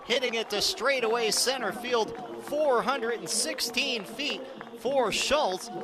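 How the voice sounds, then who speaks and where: A high-voiced American man delivers live radio baseball commentary. He narrates the game in a measured, detailed way and lifts readily into excitement when the action builds. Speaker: baseball play-by-play announcer.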